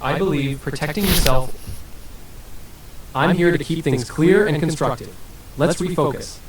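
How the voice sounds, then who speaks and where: A synthetic male voice answering as an AI interview guest, smooth and evasive with scripted-sounding replies. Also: on the high side, measured pace. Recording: roomy and distant with faint hiss.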